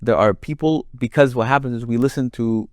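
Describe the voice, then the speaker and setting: A man with a deep voice, a Quran reciter explaining his craft in a conversational interview, building his points with long worked examples. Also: fairly quick, a little mellow, no echo, clean studio sound.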